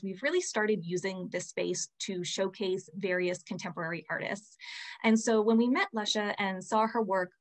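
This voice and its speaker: Young woman, museum host on a video call, mid-pitched, friendly and a little halting. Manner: friendly, filler-heavy, scripted intro. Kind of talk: introducing a speaker. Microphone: laptop webcam mic on a video call.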